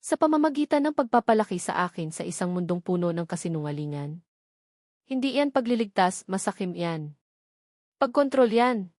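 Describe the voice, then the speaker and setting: A woman's voice: mid-range voice, big pitch swings, normal speed, a little mellow, no room sound, clean studio sound, lightly acted. A woman voicing a wounded, resolute grown son confronting his mother in a dramatic story, cold and accusing in an emphatic way. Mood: hurt, angry.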